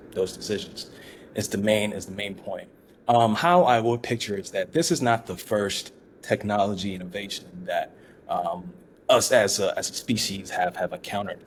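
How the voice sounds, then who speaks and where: A man from Ghana speaks as a founder in a relaxed interview. His voice sits very low. He pauses, repeats himself and restarts often, with a faint hiss of noise behind him. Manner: halting, many restarts and fillers. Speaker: startup founder, guest. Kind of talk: casual interview talk.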